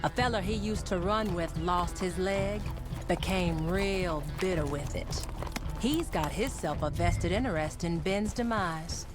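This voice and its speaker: An actress playing a hard-bitten, sardonic Wild West bounty broker with a folksy manner, in a video game briefing. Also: female voice, lowish voice, measured pace, lightly acted. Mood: dry, matter-of-fact. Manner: sardonic, folksy, matter-of-fact. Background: music behind the voice.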